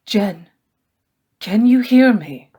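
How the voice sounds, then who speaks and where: A woman voicing a gentle, remorseful male scholar in an audiobook, hushed and earnest. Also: a little high, expressive, very slow, breathy edge, pitched above the performer's own voice, exaggerated voice. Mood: gentle, apologetic.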